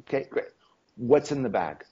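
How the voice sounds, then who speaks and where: A man's voice: medium pitch, sing-song, moderate pace, mellow, husky. A middle-aged man with a slight cold, talking on a video call as an interview guest, rambling with many ums and acting out quoted dialogue. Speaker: interview guest, esoteric history author. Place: home room on video call. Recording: dull lo-fi video call audio.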